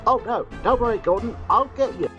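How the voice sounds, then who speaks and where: A man's voice: extremely high pitch, brisk, sharp tone, pitched above the performer's own voice. A man voicing a friendly, helpful male companion in a home-made storybook play, reassuring and calling others mate. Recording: dull lo-fi recording, faint music.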